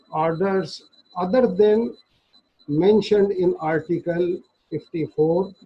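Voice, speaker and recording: Guy giving a lecture, kinda high husky voice, explains stuff step by step, dull muffled audio. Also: dark tone. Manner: deliberate, explanatory, repeats and rephrases key terms. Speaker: law lecturer. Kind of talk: lecture reading statutes in English and explaining them in Urdu.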